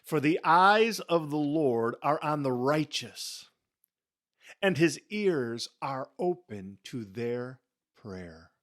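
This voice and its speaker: A man giving a dramatic scripture reading in a solemn, emphatic way, with deliberate pauses and phrases that fall away softly. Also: fairly high pitch, animated, no echo, clean studio sound. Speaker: scripture reader.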